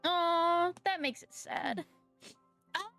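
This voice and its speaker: A woman's voice: extremely high pitch, takes it slowly, pushed and bright. A woman streaming a video game, reacting casually and muttering playfully to herself over the game's music. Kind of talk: casual live gameplay reaction.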